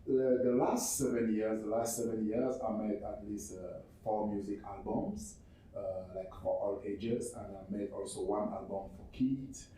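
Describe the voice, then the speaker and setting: A man from Cameroon whose first language is French, speaking English with a Cameroonian French accent. He presents his non-profit haltingly, often repeating words and restarting. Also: lowish voice, dark tone. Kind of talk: spoken presentation. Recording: very echoey room, far from mic, faint noise.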